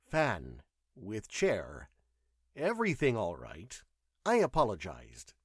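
A man performs a winged alien pilot in a sci-fi audio story, gruff and sly. The speech comes in short, broken English bursts, animated in pitch and recorded dry and close. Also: slow pace, dry recording, full character voice. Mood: sly, smug.

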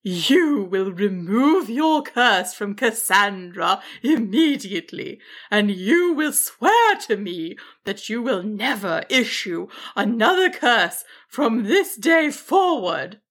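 A woman voicing a proud, protective female dragon in a children's story, commanding and deliberate. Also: mid-range voice, big pitch swings, a bit bright, full character voice. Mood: sharp, threatening. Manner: slow, stern, deliberate.

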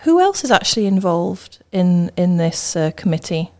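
A woman's voice: fairly low pitch, sing-song, normal speed, dark tone. A woman, a teacher, hosting an interview podcast. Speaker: host, school teacher.

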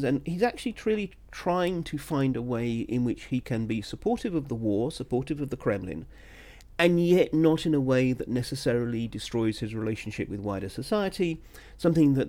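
A man's voice: medium pitch, darkish tone. A man talking through political analysis as a solo podcast host, conversational and discursive, with asides and self-corrections.

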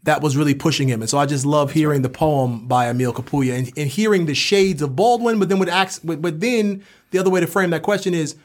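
A man's voice: fairly high pitch, brisk, hardly any pauses. A man co-hosting a literary podcast, reflective and scholarly but colloquial in his phrasing. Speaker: podcast co-host and professor.

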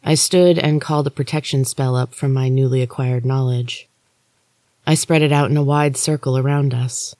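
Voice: mid-range voice, fairly even intonation, moderate pace, mellow, no echo. A podcast reader narrating a first-person story from a script. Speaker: audiobook reader, podcast host.

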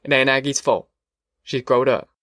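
Man voicing anxious, polite country father, mid-pitched. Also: steady tone, moderate pace, fairly bright tone, no echo, studio quality, lightly acted. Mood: grateful, earnest. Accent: rural American South. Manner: hesitant, polite, folksy. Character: Wainwright, anxious, earnest poor farmer and worried father. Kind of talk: audiobook character dialogue.

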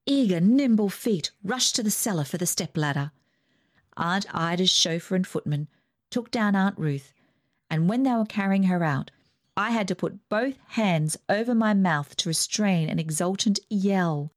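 A woman reading a storybook aloud in a lively, expressive narration. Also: mid-range voice, a little slow. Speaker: audiobook reader.

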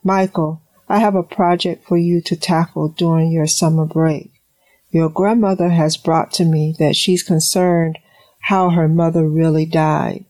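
A woman's voice: deep voice, level tone, measured pace, a little mellow, dry recording. A woman playing a composed mother, dry sound. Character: caring, composed mother of a student son. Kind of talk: audio drama dialogue.

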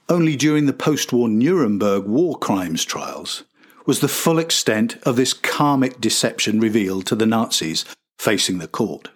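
A man's voice: mid-range voice, breathy edge. A man hosting and narrating a scripted historical documentary. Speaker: podcast host and narrator.

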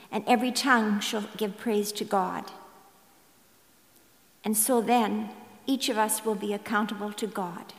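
A woman reading scripture aloud to a congregation in a slightly halting way, recorded in a reverberant church. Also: medium pitch. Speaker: scripture reader at a church service. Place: large indoor church space. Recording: echoey, reverberant church hall.

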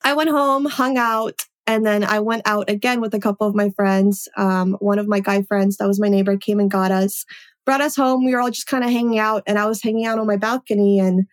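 A woman's voice: mid-range voice, steady tone, a little fast. A woman in her late twenties, a native Polish speaker, chatting upbeat as a guest about her life. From Poland. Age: 20s. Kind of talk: casual interview conversation.

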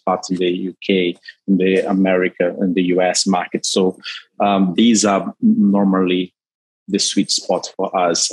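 A man from Brazil with a Brazilian Portuguese accent, a company CEO answering interview questions haltingly with frequent restarts. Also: low voice, breathy edge, no echo, rough recording. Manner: halting, many restarts and fillers.

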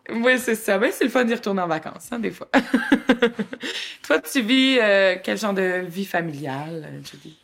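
A woman with a Québécois accent co-hosting a podcast, chatting in a lively, expressive, colloquial way and laughing often. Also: mid-range voice, measured pace, vocal fry. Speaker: podcast co-host. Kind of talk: casual chat.

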